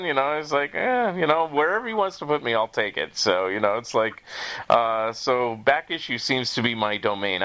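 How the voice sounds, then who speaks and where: A man with a bright, crisp-edged voice at an average male pitch chats as a podcast host. His talk is informal and conversational, strung together with loose filler phrases. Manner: informal, peppered with you know and like.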